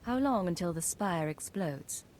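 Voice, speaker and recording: An actress playing a cool, teasing agent in a science fiction video game. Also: female voice, lowish voice, expressive, a little slow, a little mellow, slight background noise. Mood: cool, sardonic. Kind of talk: video game character dialogue.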